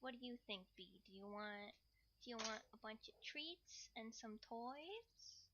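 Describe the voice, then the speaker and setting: A woman's voice: a little high, lively intonation, unhurried, heavy background noise. A woman livestreamer chatting casually, playfully rambling and thinking aloud.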